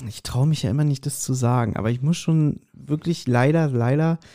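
A man's voice: mid-range voice, fairly quick, dark tone. A man hosting a podcast in casual, joking banter, teasing with a mock-serious manner. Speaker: podcast host.

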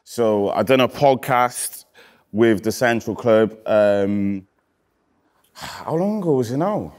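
A man in his thirties from Wales with a Cardiff accent, a rapper casually reading out comments in a relaxed, slangy way that often trails off quietly. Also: lowish voice, slow pace. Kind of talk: casual chat. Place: indoor studio with graffiti wall.

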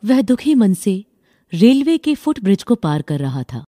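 A woman tells a children's bedtime story as a scripted narration. Her voice is mellow and medium in pitch, with lively intonation, recorded dry and close. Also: measured pace, no room sound.

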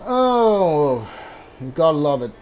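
A gamer giving casual, hesitant commentary on a playthrough, often muttering to themselves in a halting way. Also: mid-range voice, sing-song, darkish tone, highs cut off, slight background noise. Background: video game music.

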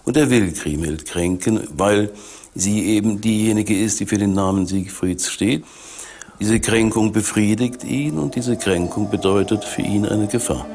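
A man speaking as an expert in an interview, explaining deliberately and repeating phrases for emphasis. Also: on the low side, darkish tone. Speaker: expert commentator.

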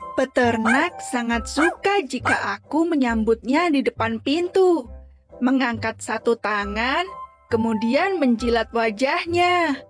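Woman voicing a high, cheerful little storybook dog. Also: animated, slow pace, soft background music. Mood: happy, smiling. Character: small, cheerful, self-assured pet dog. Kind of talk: children's storybook character acting.

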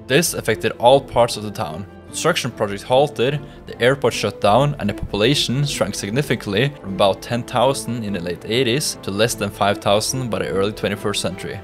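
A young man reading a scripted history voice-over for his vlog in a measured, explanatory way. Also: fairly low pitch, soft background music. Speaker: vlog narrator.

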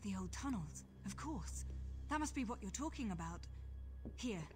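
An actress playing a principled, indignant high priestess in a fantasy video game, speaking emphatically. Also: female voice, expressive, moderate pace, soft background music, lightly acted. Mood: indignant, firm. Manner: emphatic, declamatory. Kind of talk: video game dialogue acting.

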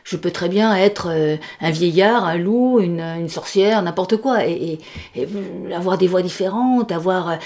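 Mellow, low-voiced woman of sixty. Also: measured pace. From born in the United States, moved often. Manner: hesitant, searching, repeating words for emphasis. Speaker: puppeteer. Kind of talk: reflective documentary interview. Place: furnished workshop room, indoors.

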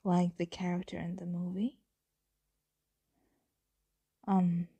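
A woman acting a flirtatious, teasing roommate playing vampire in an intimate ASMR roleplay, speaking softly and often whispering, with hesitant murmurs. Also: fairly low pitch, level tone, slow pace, highs cut off, full character voice. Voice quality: soft, breathy, frequently whispering.

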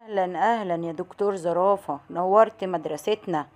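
A woman voicing a calm, brave, polite young tiger with an Egyptian Arabic accent in a children's animal show. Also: on the low side, a little fast, lightly acted. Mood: calm, welcoming.

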